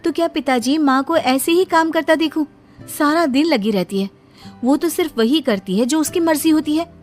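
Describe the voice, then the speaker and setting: A woman's voice: high voice, normal speed, soft background music, voice pushed up, close to an everyday voice. A woman voicing a teasing, outspoken young adult daughter in a cartoon. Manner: teasing, mocking.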